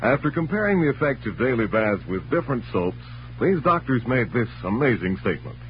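A man's voice: mid-range voice, sing-song, brisk. A man with an American accent announcing in a polished, emphatic 1940s scripted radio style. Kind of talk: 1940s scripted radio narration and sponsor pitch. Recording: muffled, noisy old radio recording with hum.

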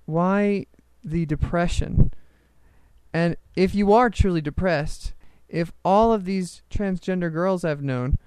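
Silly high-voiced man hosting. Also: slow pace, a little mellow, clear, resonant tone, no room sound. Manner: silly, probing, mock-interviewer. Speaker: co-host. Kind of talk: casual comedic chat.

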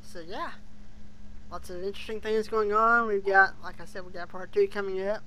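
A podcast host chatting casually about a show for fans, speaking in a halting, hesitant way. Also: mid-range voice, fairly even intonation, pushed and bright, rough recording. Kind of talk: casual fan podcast review chat.

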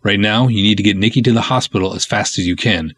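A man voices an urgent, insistent police lieutenant in a comic serial. His voice is low and rough-edged, dry and close, with terse commands. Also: fast talker, a bit bright, dry recording. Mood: commanding.

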